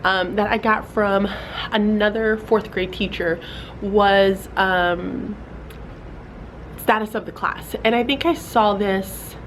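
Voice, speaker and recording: A woman's voice: medium pitch, steady tone, slow pace, rough recording. Young woman teacher, casual vlog delivery, mildly congested, chatty with fillers; light background noise. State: slightly stuffy from a cold. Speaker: fourth grade teacher. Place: classroom.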